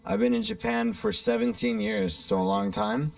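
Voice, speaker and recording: A man from California who does comedy, answering in an animated, jokey way. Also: high-pitched, moderate pace, vocal fry, dry recording. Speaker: interviewee, comedian. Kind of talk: casual interview answers. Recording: distant, dry sound.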